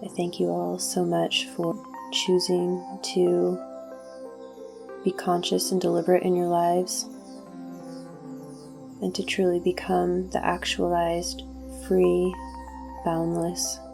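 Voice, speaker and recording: A woman hosting a guided meditation, introducing it as spiritual talk in deliberate, measured phrases. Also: lowish voice, vocal fry.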